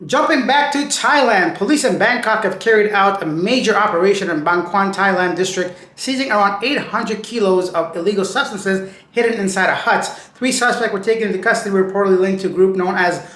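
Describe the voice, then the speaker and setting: A man with a high, slightly raspy voice runs through news headlines in a loose, chatty way, tossing in slangy remarks between stories. The recording carries a noticeable room echo. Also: a little fast, few pauses, a bit bright. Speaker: news channel host. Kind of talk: casual news commentary.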